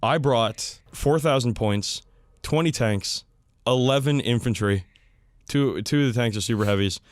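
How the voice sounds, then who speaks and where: A man's voice: mid-range voice, unhurried, no echo, studio quality. A man co-hosting a podcast in casual hobby chat, talking with enthusiasm and some swearing. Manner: enthusiastic, profane. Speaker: podcast co-host. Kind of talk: casual hobby chat about tabletop wargaming.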